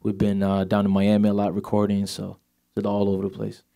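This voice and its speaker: Guest singer, Swedish-born man in his 20s, low voice, light accent, easygoing and filler-heavy. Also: completely flat delivery, fairly quick, gravelly, mellow. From Sweden. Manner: laid-back, many fillers like "you know". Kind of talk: casual interview chat.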